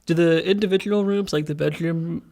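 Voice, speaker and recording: A man's voice: a little high, fairly even intonation, a little fast, gravelly, a little mellow. A man playing a tabletop game, talking casually and thinking aloud as he tentatively decides his moves.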